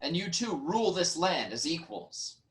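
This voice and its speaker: A man acting wronged Greek nobleman, somewhat high male voice, formal, measured, reasoning, classical tragedy. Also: big pitch swings. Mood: reasoning, defensive. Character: wronged, reasoning nobleman, brother-in-law of the king. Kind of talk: dramatic Greek tragedy reading.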